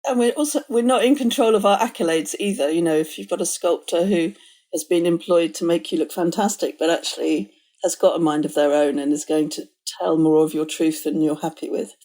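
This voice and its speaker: Mellow-voiced woman hosting a conversation. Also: fairly low pitch, fairly quick. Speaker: podcast host. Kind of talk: thoughtful casual conversation.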